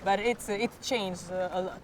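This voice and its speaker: A teenage Croatian girl speaks hesitant English with a Croatian accent, pausing to hunt for words and sometimes slipping into her native language. Her voice is a touch hoarse and mid-pitched. A faint hiss of noise sits behind her. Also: steady tone. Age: teens. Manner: halting, searching for English words. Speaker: klapa singer. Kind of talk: interview answers.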